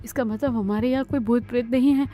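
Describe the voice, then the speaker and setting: A woman playing an anxious, excitable young daughter-in-law in a cartoon family drama, earnest and dramatic. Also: on the high side, fast, close to an everyday voice. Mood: indignant.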